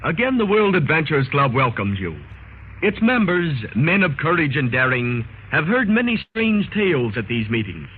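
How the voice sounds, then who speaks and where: Casting: grave vintage radio announcer, animated higher-set voice, formal and dramatic, scratchy narrowband recording. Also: male voice, normal speed. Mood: solemn, mysterious. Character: grave announcer introducing a club of adventurers. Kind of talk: 1930s radio drama narration. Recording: scratchy, phone-like old radio recording, noisy.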